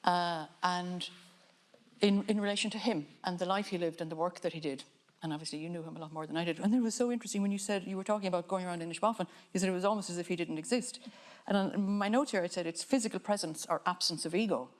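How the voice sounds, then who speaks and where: An Irish woman, a filmmaker on a panel, giving a reflective talk in a musing, halting way with frequent restarts. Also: fairly low pitch. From Ireland.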